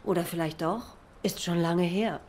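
An actress playing an obliging, down-to-earth young cat waitress in a noir video game, plain-spoken and a little hesitant. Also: female voice, fairly low pitch, steady tone, moderate pace, darkish tone, slight background noise, natural voice. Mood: thoughtful, sympathetic.

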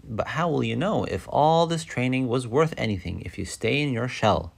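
A man's voice: mid-range voice, expressive, moderate pace, vocal fry. A man voicing an encouraging young girl in a storybook, earnest and coaxing as she coaches her pet. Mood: reassuring, coaxing.

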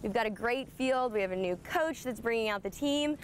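A young woman in her twenties, a sports reporter, interviewing a guest in an upbeat, lively way, recorded outdoors on a lapel mic. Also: on the high side, a little fast, a little hiss or hum. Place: outdoors in a large empty stadium.

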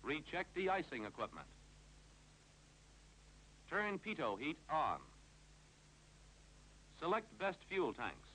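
American guy doing old 1950s training film narration, crisp bright voice, up-and-down intonation, clipped and instructional, bit of film hiss and hum. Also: fairly high pitch, big pitch swings, slow pace, pauses often, low-quality recording. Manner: clipped, authoritative, instructional delivery.

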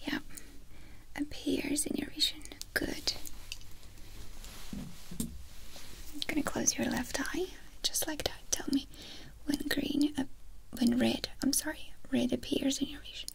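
Young woman whispering softly. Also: sits high, low-quality recording. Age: young adult. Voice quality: breathy, unvoiced whisper. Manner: gentle, reassuring, softly instructing. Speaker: ASMR performer playing a doctor. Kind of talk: ASMR medical exam roleplay. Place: small indoor room dressed as a clinic. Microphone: close microphone in front of the speaker.

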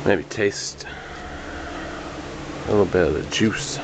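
A man's voice: sits low, slow. A man giving a casual, rambling walkthrough of his home basement winemaking setup, filmed on a handheld camera. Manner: relaxed, rambling, hesitant with fillers. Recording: noisy camcorder audio with fan hum. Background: fan and air conditioning hum.

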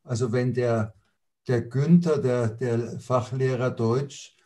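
A man in his sixties giving measured literary commentary in conversation on a video call, with small restarts. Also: medium pitch, fairly even intonation, warm tone. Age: 60s. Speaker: literary critic, discussion partner. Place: indoors, book-lined study on a video call.

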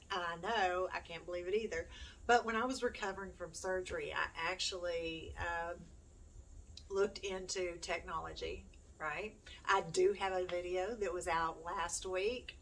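A woman's voice: on the low side, bright, forceful tone. A middle-aged woman chatting casually to camera in a rambling, self-deprecating way. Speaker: homeschooling mom, YouTube host. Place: small room at home, indoors. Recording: distant mic, noisy room.

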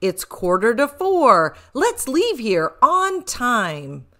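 A woman reading a rhyming children's picture book aloud with expressive, rhythmic delivery. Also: fairly high pitch, takes it slowly, over loud music.

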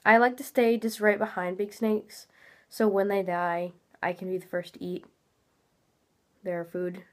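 A woman's voice: medium pitch, flat delivery, a little slow. Teen girl host, stuffy-nosed and chatty, rambling gameplay commentary from a home webcam. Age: teens. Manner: chatty, rambling, many fillers. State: congested, a little nasal. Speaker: gaming channel host. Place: small room at home, indoors.

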